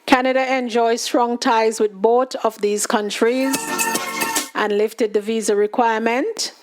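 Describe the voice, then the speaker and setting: A woman hosting a show, reading news items in an unhurried way and adding casual asides and fillers. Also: on the high side, fairly even intonation. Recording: music playing loudly behind.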